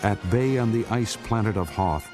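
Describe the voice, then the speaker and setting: A man's voice: fairly low pitch, normal speed, lightly acted. A man narrating a space saga radio drama in a solemn, dramatic storyteller's manner. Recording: music under the voice.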